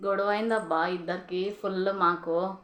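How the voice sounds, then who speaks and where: A woman's voice: mid-range voice, steady tone, moderate pace, fairly bright tone. Insistent Telangana woman on a casual call. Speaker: vlogger making a prank call to a friend. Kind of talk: casual phone conversation.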